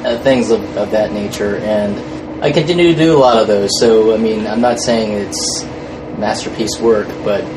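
A male actor being interviewed, chatting casually in a halting, wry manner. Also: fairly low pitch. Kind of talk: casual joking interview chat. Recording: noisy room with air-conditioning hum.

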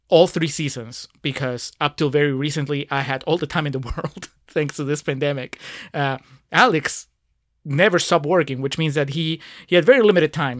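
Guy from peru cohosting a podcast, kinda high voice, lots of uhs and little laughs. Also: a bit bright, dry recording, clean studio sound. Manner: hesitant, many fillers, chuckling. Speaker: podcast co-host. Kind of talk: casual podcast chat.

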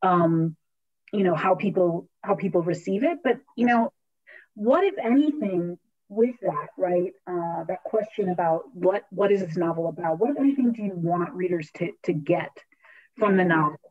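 Woman host, book club Q&A, halting with ums, video-call audio slightly dull. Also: on the low side. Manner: hesitant, many fillers. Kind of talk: online author interview.

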